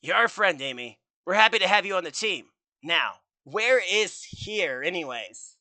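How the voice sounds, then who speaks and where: A man voicing a cocky, loyal, wisecracking teenage hedgehog hero with brash, joking delivery in an animated fan dub. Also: high-pitched, a little slow, dry recording, exaggerated voice. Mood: reassuring.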